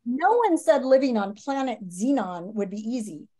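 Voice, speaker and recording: A woman in her fifties reading a children's nonfiction book aloud in a dramatic, teacherly way with emphatic pauses, on a video call from a room at home. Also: a little high, very animated, mellow. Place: small home room, indoors. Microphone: video call, computer microphone.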